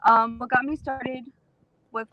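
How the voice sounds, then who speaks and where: A woman's voice: fairly high pitch, flat delivery, measured pace, highs cut off. A woman from southern Texas, an interview guest explaining her background in a casual, storytelling way. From Rio Grande Valley, Texas, USA. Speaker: interview guest, author and actor.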